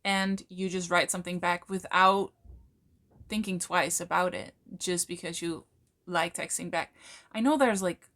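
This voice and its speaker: A Dutch woman, a native Dutch speaker talking in English, explaining things to camera in a thoughtful way, with many fillers and slow, stretched words for emphasis. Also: on the low side, fairly even intonation, fairly bright tone. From Netherlands. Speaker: channel host, coach. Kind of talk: casual explanatory talk to camera.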